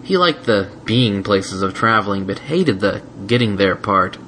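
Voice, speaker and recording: A man's voice: on the low side, expressive, a little slow, a bit bright, slight background noise. A man reading a fan fiction story aloud as scripted narration.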